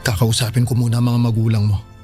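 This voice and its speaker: A man acts out an earnest young father in a Filipino radio drama. His mid-range voice is halting and pleading, full of sincere worry. Soft music plays behind him. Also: mid-range voice, little pitch movement, close to an everyday voice. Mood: earnest, reassuring. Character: earnest, anxious young father promising to provide.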